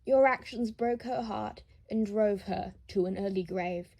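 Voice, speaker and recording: A woman's voice: mid-range voice, measured pace, a little mellow. A woman voicing a proud, bitter older aristocratic father in an audiobook drama, speaking in a haughty, formal, reproachful way. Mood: scornful, accusing.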